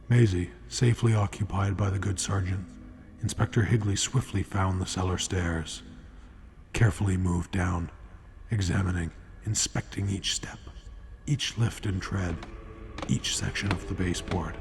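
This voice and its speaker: A man narrating a true-crime radio drama in a grave, measured, scripted style. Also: low voice, steady tone, a little mellow, faint music behind. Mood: measured, suspenseful.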